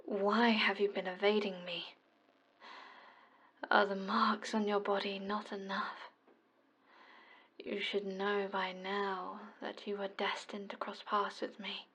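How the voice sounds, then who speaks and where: Evil sorceress played by a woman, British accent, husky half-whisper, slow and possessive, alluring ASMR delivery. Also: medium pitch, level tone, fairly bright tone, lo-fi sound, heavily acted. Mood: commanding, alluring. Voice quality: breathy, whispery. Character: alluring, commanding evil sorceress, a seductive witch. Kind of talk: ASMR roleplay.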